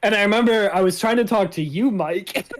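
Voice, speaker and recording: A young man with a loud, piercing voice, swearing and laughing through stories in casual chat as a podcast co-host. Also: sits high, expressive, moderate pace. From Italian family background.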